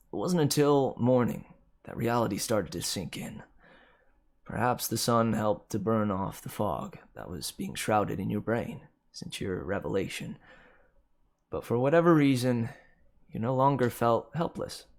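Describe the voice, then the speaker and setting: Man, mid-pitched voice, creaky fry, scripted story narration, dramatic emphasis. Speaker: amateur story reader. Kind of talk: scripted narration, reading a dark second-person story aloud.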